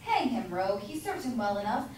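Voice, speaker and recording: A woman's voice: fairly high pitch, sing-song, fairly quick, darkish tone. A young woman playing a blustering, doting citizen husband in an amateur staged play reading. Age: young adult. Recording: echoey hall from far off, noisy.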